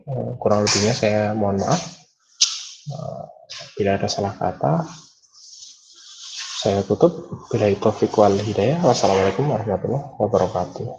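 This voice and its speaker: A man's voice: lowish voice, normal speed, gravelly, long pauses, background noise. A man, a university lecturer wrapping up an online class with many fillers and restarts. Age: 20s. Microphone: video call. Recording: dull lo-fi call audio.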